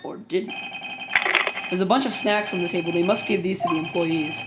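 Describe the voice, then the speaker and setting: A woman's voice: on the low side, moderate pace, background noise. A woman hosting a game playthrough, reading the on-screen text aloud and chatting casually, her voice a little worn out. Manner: reads game text aloud, laughs easily. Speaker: let's play host. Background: game music.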